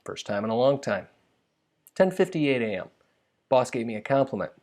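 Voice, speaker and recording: Man, medium pitch, scripted humorous storytelling, wry, conversational, self-deprecating. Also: sing-song, moderate pace, darkish tone. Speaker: author reading own humorous essay. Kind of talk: scripted humorous first-person narration.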